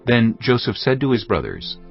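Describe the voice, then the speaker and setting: A man's voice: mid-range voice, wildly swooping pitch, normal speed, gravelly. A man narrating dramatized scripture in a solemn storytelling manner. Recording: slightly dull audio with music behind. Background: music.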